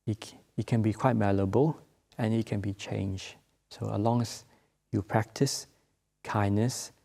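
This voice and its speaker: A man from Malaysia with a Malaysian accent, speaking English as a second language, giving a Buddhist Dharma talk as a monk, gentle and halting, with many filler sounds. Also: lowish voice, gravelly. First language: not English. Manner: gentle, unhurried teaching.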